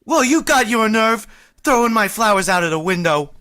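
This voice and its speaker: A woman's voice: medium pitch, animated, moderate pace, a bit bright, close to an everyday voice. A woman voicing an awkward, lovestruck young man in a vintage-style radio play, earnest and rambling. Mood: indignant. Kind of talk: 1940s radio acting.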